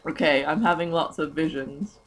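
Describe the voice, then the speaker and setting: A woman's voice: sits low, moderate pace, a crowd in the background. A young adult woman giving a mock dramatic reading with casual, sarcastic, giggly banter. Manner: giggly, sarcastic, swearing freely. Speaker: co-reader in a comic dramatic reading. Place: at home with a flatmate, on camera.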